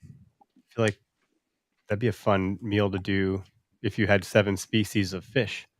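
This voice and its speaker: A man's voice: lowish voice, level tone, measured pace. A man hosting a podcast in casual chat, informal and bantering, with some swearing. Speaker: podcast host.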